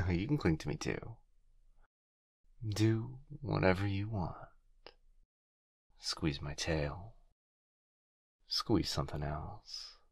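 A man playing an obsessive, doting fox-spirit husband in an intimate ASMR roleplay, speaking softly and tenderly, close to the microphone. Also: deep voice, vocal fry, breathy edge, no room sound, exaggerated voice. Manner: soft, hushed, tender, halting delivery. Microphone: close binaural microphone.

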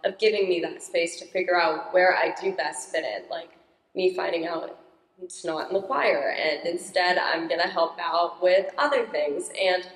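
A teenage girl with a naturally loud, upbeat voice speaks into a handheld microphone. Her slightly low voice rings around a large church sanctuary with long reverb. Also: normal speed. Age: teens. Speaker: young parishioner guest. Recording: echoey church hall.

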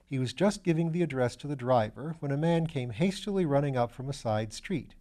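Audiobook narrator: man in his 60s, literary storytelling, mid-pitched voice. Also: animated, brisk, warm tone. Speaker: audiobook reader. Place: small attic library room, indoors.

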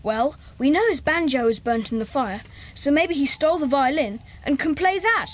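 A woman's voice: high-pitched, animated, moderate pace. A woman playing an earnest, bossy young schoolboy gang leader in a children's audio drama. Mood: intrigued.

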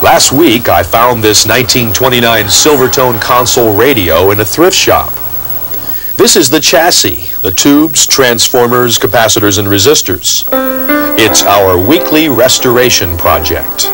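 A man's voice: medium pitch, animated, a little slow, over music, rough recording. A man presenting a hobby show in scripted narration, on a dated 1980s TV soundtrack. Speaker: presenter and radio restoration hobbyist.

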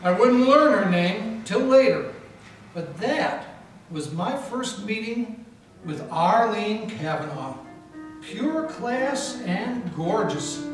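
An actor playing a wisecracking, hard-boiled male private eye who narrates a live 1940s-style radio play. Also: sits high. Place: historic mansion hall, indoors, live audience. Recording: echoey room from far off with music.

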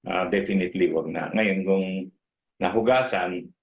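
A middle-aged man, a regional fisheries official, answering interview questions over a video call from his office, halting and switching between languages mid-sentence. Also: sits low, gravelly. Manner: halting, mixes in English, many fillers and restarts. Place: indoor office. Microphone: video call webcam.

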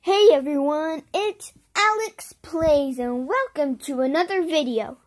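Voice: sits high, a little slow. A child hosting a casual video, trying out and showing a phone app. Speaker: video host.

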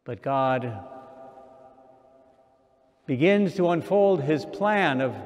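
Man in his 60s, slow deliberate sermon, slightly high voice, long church reverb. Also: animated. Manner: slow, measured preaching with repeated phrases. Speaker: archbishop. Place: large church sanctuary, indoors. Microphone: stand mic at pulpit.

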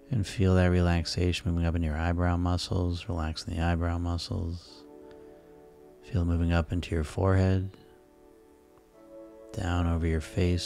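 A man speaking as a hypnotist, guiding listeners into sleep in a soothing, unhurried, repetitive way over music. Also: sits low, vocal fry, dark tone. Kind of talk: guided sleep hypnosis narration. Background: relaxing background music.

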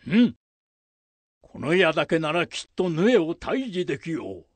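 A man playing a stern, resolute old samurai lord in a radio drama, with archaic, authoritative, deliberate speech and a gravelly, husky voice. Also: fairly high pitch, expressive, no room sound. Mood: pleased, commanding. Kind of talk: period radio drama acting.

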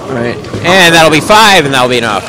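Loud, projecting male bowling commentator. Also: fairly high pitch, animated, brisk, noisy background. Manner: chatty, joking asides between calls. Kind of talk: live play-by-play commentary. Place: bowling alley.

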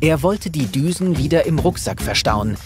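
A man's voice: fairly high pitch, lively intonation, moderate pace, music in the background. A man reading scripted narration for a children's audio play.

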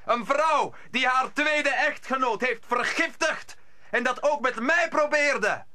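An actor playing a bold, earnest young musketeer in a radio play, emphatic and declamatory. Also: male voice, extremely high pitch, slow pace, bright, forceful tone, no echo, clean studio sound, heavily acted. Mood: indignant. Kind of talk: Dutch radio play acting.

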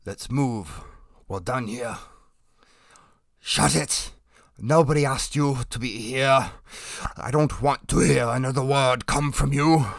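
A man's voice: mid-range voice, dry recording, studio quality. A man voicing a menacing, scornful fighter character for a demo reel. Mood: aggressive, cocky.

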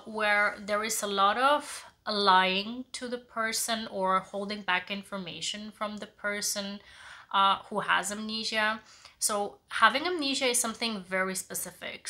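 Female book vlogger, thirties, bright forward tone with a stuffy head-cold sound, mid pitch, casual and chatty delivery. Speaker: book reviewer, channel host. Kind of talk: casual talk to camera reviewing books. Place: small living room, indoors.

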